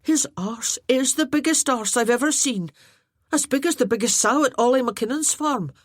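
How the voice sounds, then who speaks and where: A woman's voice: high-pitched, moderate pace, no echo, clean studio sound, pitched above the performer's own voice. A woman voicing a cheeky prankster warrior. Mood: jesting. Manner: sly, jesting. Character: cheeky young male Highland warrior, prankster.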